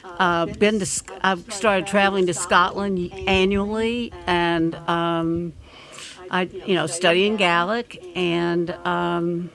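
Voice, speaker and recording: An older woman from Tennessee, a guest on a live panel, speaking in a halting, unhurried way. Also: on the low side, fairly even intonation, a bit bright. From Memphis, Tennessee. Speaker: audience guest on a panel, lawyer. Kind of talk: casual chat on stage.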